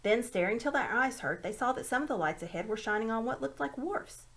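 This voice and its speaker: A woman reading a children's story aloud in an expressive narrating style. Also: fairly high pitch, fairly quick. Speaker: amateur audiobook reader.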